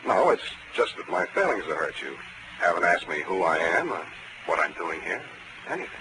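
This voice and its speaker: On a noisy old radio recording, a hardboiled male private eye with a lowish voice. His delivery is slangy and wry, rising and falling freely in classic 1940s detective-drama style. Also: big pitch swings, moderate pace. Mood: flustered.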